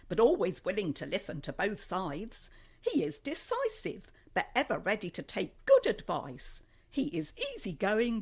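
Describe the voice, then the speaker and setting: An actress in a radio play playing an older, old-fashioned woman, fond but tartly sceptical, with a deliberate delivery. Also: medium pitch, husky, exaggerated voice.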